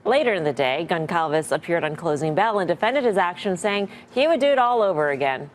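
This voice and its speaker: A woman's voice: lowish voice, lively intonation, a little fast, a little hiss or hum. A woman with an American accent presenting a broadcast financial news show as its host.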